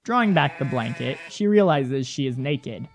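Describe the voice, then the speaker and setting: A man's voice: mid-range voice, lively intonation, normal speed. A man reading scripted narration as the announcer and storyteller of an audio production.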